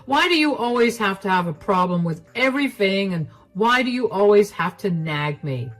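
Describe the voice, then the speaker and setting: An entitled, jobless husband whines and bristles at every complaint, shifting blame with a sulky, defensive edge, as a woman reading the story puts him on. Soft music plays faintly underneath. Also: fairly low pitch, expressive, moderate pace, husky. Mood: indignant. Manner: whiny and defensive.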